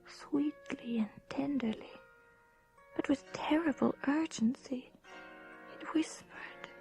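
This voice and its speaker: An actress playing an innocent, troubled young gentlewoman in a radio drama, speaking gently and earnestly. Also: sits high, takes it slowly, vocal fry, whispered, soft background music, full character voice, poor audio. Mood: haunted narrating. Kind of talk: 1980s radio drama acting and narration.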